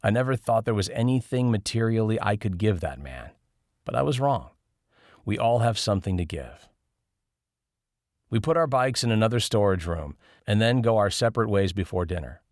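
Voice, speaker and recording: A man's voice: on the low side, animated, normal speed, no echo, clean studio sound. A man reading a first-person travel memoir as scripted audiobook narration.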